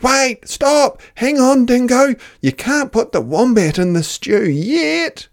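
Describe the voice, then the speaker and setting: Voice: medium pitch, animated, slow pace, voice pushed up. A storyteller voicing alarmed bush animals in a storybook, exclaiming urgently.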